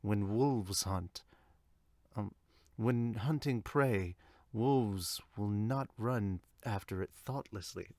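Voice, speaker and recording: A man voicing a coldly patient, predatory young golf rival with a slow, low, deliberate delivery. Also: a little mellow, no echo, studio quality. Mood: cold, menacing.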